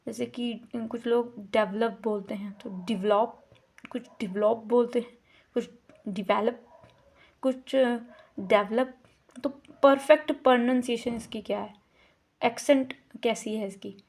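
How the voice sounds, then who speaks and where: Indian woman, lecturer, slightly high voice, slow and deliberate, demonstrates pronunciation by repeating words. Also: fairly even intonation, creaky, darkish tone. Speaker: teacher. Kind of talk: lecture explaining a course unit.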